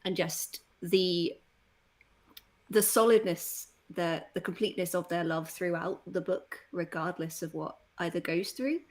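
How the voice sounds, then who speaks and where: A woman with a voice a little on the low side speaks as an interviewer. She is conversational and agreeable, sharing her enthusiasm for the subject. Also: fairly even intonation, measured pace.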